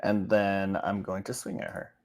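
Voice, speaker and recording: A man's voice: low voice, little pitch movement, normal speed. A man playing a tabletop game, talking casually about his moves.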